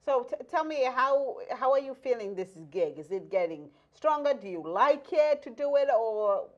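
A woman's voice: sits high, big pitch swings, moderate pace, a little mellow, highs cut off. A young woman hosting a casual interview, reacting readily and asking teasing follow-up questions. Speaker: talk show host, interviewer. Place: small indoor TV studio set.

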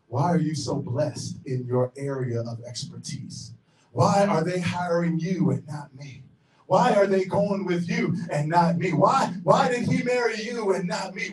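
A middle-aged Southern US pastor delivers a sermon, his high voice jumping from ringing shouts to hushed asides as he paces the stage. He speaks into a handheld mic in a large auditorium, heard from far back with a roomy echo. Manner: emphatic preaching, swings between shouts and low asides; paces and gestures across the stage while preaching. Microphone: handheld mic, filmed from far back. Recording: echoey hall from far off.

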